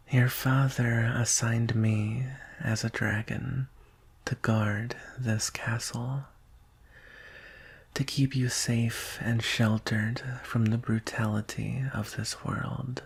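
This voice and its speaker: A man playing a devoted, solemn male dragon guard in an intimate ASMR roleplay, speaking in a hushed, wistful, husky voice that often drops to a whisper. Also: mid-range voice, full character voice. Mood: tender, wistful. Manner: hushed, deliberate, with long pauses.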